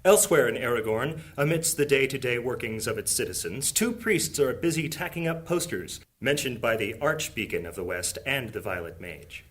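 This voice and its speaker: A man's voice: medium pitch, animated, normal speed, hardly any pauses, fairly bright tone, natural voice. A man narrating a fantasy audio drama in a grand, scripted storytelling manner.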